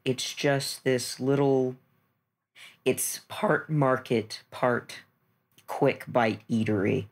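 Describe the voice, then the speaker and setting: A man playing a tabletop game, improvising a story in a deliberate, measured manner. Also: medium pitch.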